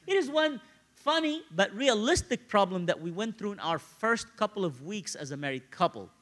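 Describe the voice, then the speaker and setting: A male preacher delivers a sermon full of personal stories. His voice is high and bright, swinging widely in pitch. He talks conversationally and repeats phrases to drive a point home. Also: very animated, normal speed. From Egypt, grew up in Cairo.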